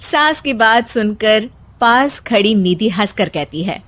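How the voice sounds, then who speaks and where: A woman's voice: mid-range voice, expressive, slow, a bit bright. A woman narrating a scripted cartoon story.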